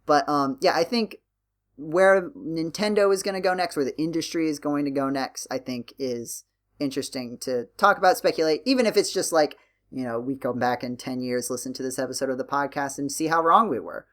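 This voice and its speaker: A man hosting a casual podcast discussion, talking in a halting way and circling back as he thinks aloud. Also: on the high side, a bit bright. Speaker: podcast host.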